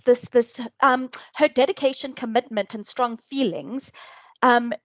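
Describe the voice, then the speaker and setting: A woman's voice: high voice, no room sound. A woman and cast member, slightly unwell, speaking hesitantly about her role in a panel discussion. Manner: slow, hesitant, apologetic.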